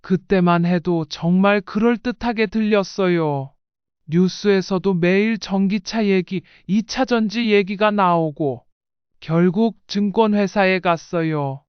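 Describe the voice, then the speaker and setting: A woman's voice: mid-range voice, unhurried, clean tone, dry recording, muffled recording. A woman reading a scripted first-person life story in audiobook style. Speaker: narrator.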